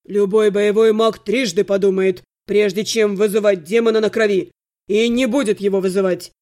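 A woman's voice: mid-range voice, fairly even intonation, normal speed, warm tone, pitched below the performer's own voice, close to an everyday voice. A woman voicing a stern male necromancer in an audiobook, icy and clipped with held-back anger. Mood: sharp anger. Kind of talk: audiobook character acting.

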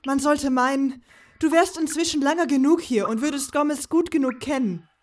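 A woman playing a high-voiced, brusque young woman. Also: moderate pace, a little mellow, close to an everyday voice. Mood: exasperated. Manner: brusque, scolding, commanding. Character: agile, bossy, impatient young woman ally of the hero. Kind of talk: fan audio drama acting.

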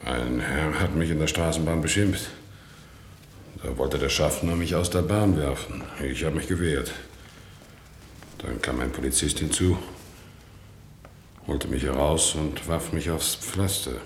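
Very deep-voiced, humbled, bewildered man. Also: a little slow, pauses often. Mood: bewildered, helpless. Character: wronged, bewildered organ-grinder man, humble and pleading. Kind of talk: 1960s German radio play acting. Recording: roomy 1960s radio recording with rumble.

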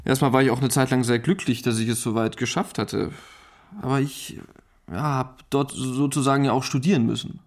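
An actor playing an easygoing, freedom-loving German husband, talking casually and hesitantly in a documentary radio play. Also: male voice, medium pitch, steady tone, a little fast, close to an everyday voice. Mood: wry, casual.

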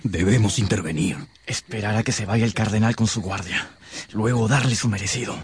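An actor playing a proud, stern musketeer with a bitter streak in a radio drama, resolute and theatrical. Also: male voice, lowish voice, fairly quick, lightly acted. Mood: grave, resolute.

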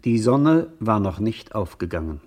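A man narrating a lyrical literary radio play in a contemplative manner, with a husky voice. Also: lowish voice, big pitch swings, unhurried, a little mellow.

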